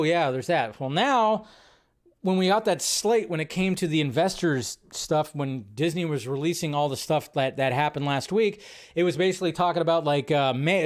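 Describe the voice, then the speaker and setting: A young adult man hosting a casual live movie news stream, rambling and correcting himself as he talks. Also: on the high side. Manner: rambling, self-correcting, chatty.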